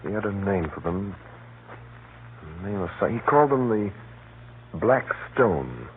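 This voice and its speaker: An actor playing an urgent, shaken gentleman telling of danger in a 1930s radio drama, with dramatic, emphatic delivery. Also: lowish voice, big pitch swings, a little slow. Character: urgent, shaken gentleman recounting a deadly spy conspiracy. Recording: scratchy, muffled 1930s radio recording.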